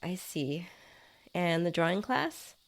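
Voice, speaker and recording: A woman's voice: fairly low pitch, expressive, slow, gravelly, full character voice. A woman voicing a disembodied writer in a short story, uneasy and curious, with a hesitant, polite, questioning manner. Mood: thoughtful. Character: uneasy, curious disembodied writer floating outside own body.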